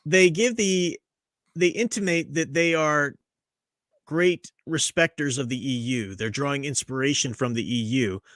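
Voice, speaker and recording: A man's voice: a little high, measured pace, fairly bright tone, no room sound, studio quality. A man hosting a casual podcast chat, opinionated and chatty, laughing often. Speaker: podcast host.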